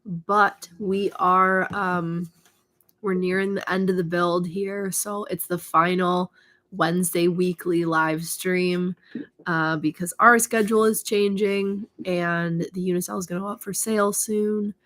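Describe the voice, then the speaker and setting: A woman co-hosting a casual live stream, hoarse and losing her voice, chatty and laughing often. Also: lowish voice, fairly even intonation, unhurried, a bit bright.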